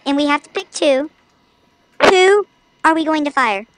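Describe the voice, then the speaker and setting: A woman's voice: sits high, lively intonation, measured pace, a bit bright, a little hiss or hum, rough recording. A woman streaming a video game with chatty, playful, mock-dramatic commentary. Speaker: gaming streamer. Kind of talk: casual livestream gameplay commentary.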